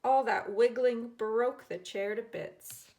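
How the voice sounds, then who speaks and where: A woman teacher reading a children's storybook aloud in an expressive way, acting out the characters. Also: a little high, slow pace, gravelly. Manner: expressive, dramatizing characters' lines.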